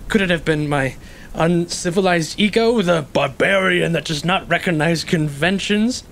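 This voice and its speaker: An actor playing an anxious, educated ex-convict who justifies himself in a halting, earnest stage-play delivery. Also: male voice, sits high, fairly bright tone. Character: guilt-ridden educated ex-convict man, anxiously self-justifying. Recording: clearly noisy, rain-like ambience behind.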